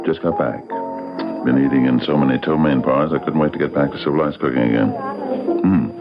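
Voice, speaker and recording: An actor playing an oily, condescending man about town in a radio drama, drawling and needling with mock courtesy in a gravelly voice. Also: a bass voice, fairly quick. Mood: mock delight. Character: smooth, menacing man, oily and condescending socialite. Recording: dull old radio recording, loud music.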